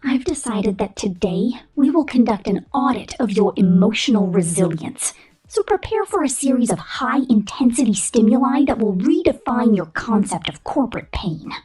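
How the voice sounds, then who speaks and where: A woman voicing a vain, domineering female robot corporate boss who scorns humans, in a condescending, menacing ASMR roleplay with a whispery, deliberate delivery. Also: on the high side, big pitch swings, mellow, soft background music. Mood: cold, menacing. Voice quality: breathy, whispery. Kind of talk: ASMR roleplay monologue, scripted.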